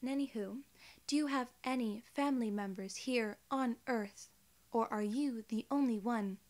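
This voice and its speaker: A woman in her twenties voicing a polite alien gatekeeper in soft-spoken, measured, reassuring ASMR role-play. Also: fairly high pitch, close to an everyday voice. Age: 20s. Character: polite, formal female alien gatekeeper at a wormhole.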